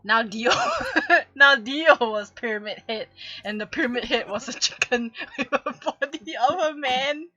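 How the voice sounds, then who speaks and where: A woman's voice: a little high, measured pace, hardly any pauses, pushed and bright, lo-fi sound. A woman reading fan fiction aloud with comic drama and casual chat, giggling and stumbling over her words. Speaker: streamer hosting a fanfic reading.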